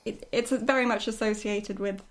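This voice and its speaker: A woman's voice: mid-range voice, steady tone, normal speed. A woman chatting casually and reflectively as a writer looking back on a show, in an agreeable, conversational way. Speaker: writer discussing their own show.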